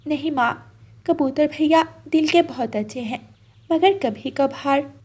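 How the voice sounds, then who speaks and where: A woman voicing a gentle, sincere, long-suffering female bird in a cartoon story, speaking earnestly and softly. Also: sits high, measured pace, pitched above the performer's own voice. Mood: downcast, gentle.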